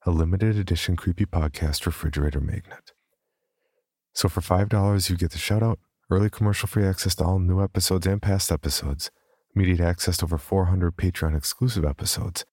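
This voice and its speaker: Male podcast host, very low and husky, reading announcements in a dry, close setting. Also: a little mellow, dry recording.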